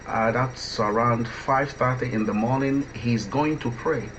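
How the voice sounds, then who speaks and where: Male radio interviewer, mid-pitched, halting conversational delivery, slightly noisy dull audio. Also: fairly bright tone. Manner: hesitant, halting, repeats words. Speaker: interviewer, radio drama producer. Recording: dull lo-fi audio with faint noise.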